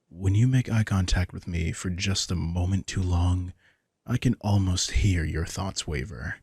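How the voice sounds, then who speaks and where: A man voicing a possessive, teasing vampire in an intimate audio roleplay, smug and softly commanding. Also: sits low, vocal fry, breathy edge, dry recording, clean studio sound, full character voice. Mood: teasing, protective. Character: possessive, teasing male vampire with hypnotic powers. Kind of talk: intimate scripted audio roleplay addressed to the listener.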